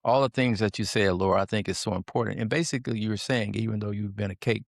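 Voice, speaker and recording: A man's voice: on the low side, steady tone, fast talker, gravelly, no room sound, clean studio sound. A man hosting an interview podcast, upbeat and encouraging, laughing along with his guest. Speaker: podcast host.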